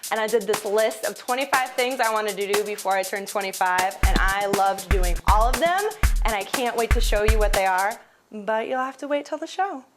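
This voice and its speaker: A woman in her twenties, bubbly and excited, talking to the camera as a co-host. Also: mid-range voice, moderate pace. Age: 20s. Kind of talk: casual vlog-style talk. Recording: music behind the voice.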